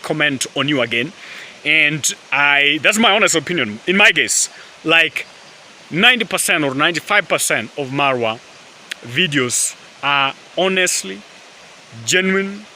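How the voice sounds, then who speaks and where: A man's voice: a little high, expressive, moderate pace, bright, forceful tone, a little hiss or hum. A man whose first language is Swahili, rambling in casual talk to camera as a vlogger, full of fillers and calls to his viewers, outdoors by a forest river. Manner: rambling, filler-heavy, keeps addressing viewers as guys. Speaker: YouTube vlogger.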